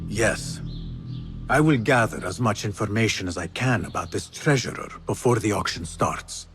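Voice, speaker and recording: An actor playing a resolute, guarded assassin in a video game, speaking in measured, serious and formal phrases. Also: male voice, on the low side, expressive, a bit bright, slight background noise. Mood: focused, determined. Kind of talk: dramatic game cutscene acting.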